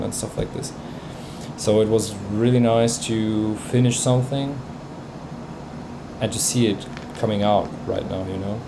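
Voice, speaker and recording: Male streamer, native Bosnian speaker, casual and hesitant, slow delivery, noticeable hum behind him. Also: on the low side. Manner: hesitant, many fillers and restarts. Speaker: livestream host reviewing music tracks. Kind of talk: casual livestream chat.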